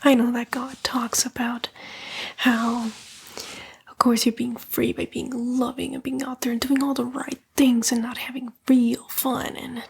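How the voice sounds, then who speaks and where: A woman voicing a smug, seductive female demon, a fallen angel, in a breathy, coaxing ASMR roleplay whisper. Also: on the high side, little pitch movement, exaggerated voice. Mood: coaxing, scornful. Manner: slow, coaxing, taunting, drawn-out whisper.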